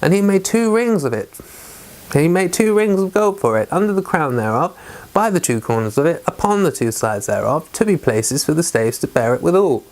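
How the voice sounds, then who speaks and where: A man with a voice pitched a little above average hosts a daily Bible read-along. His delivery is measured and deliberate, as of someone reading scripture aloud to regular followers. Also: expressive, darkish tone.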